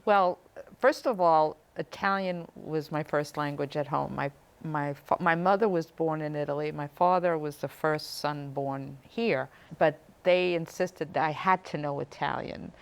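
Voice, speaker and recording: Middle aged woman, low gravelly voice, lively chatty storytelling. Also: unhurried. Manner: lively storyteller, light laughs. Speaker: guest, community director. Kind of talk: interview chat.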